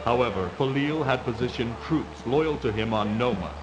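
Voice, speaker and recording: A man narrating a video game story in a measured, formal, scripted storytelling style. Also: mid-range voice, expressive, low-quality recording. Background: music.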